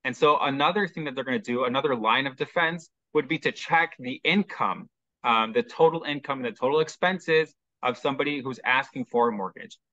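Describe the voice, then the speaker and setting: A man's voice: mid-range voice, a little fast, bright, forceful tone, no echo, highs cut off. A man from Chicago with a Chicago American accent, a mortgage specialist guest explaining step by step with frequent ums. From Chicago, USA. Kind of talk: explanatory interview talk.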